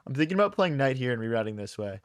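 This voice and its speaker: A man's voice: medium pitch, very animated, rapid-fire, no echo. A young man from Philadelphia, streaming chess and thinking aloud in casual slang as he answers chat. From Philadelphia, USA. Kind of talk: casual live-stream commentary.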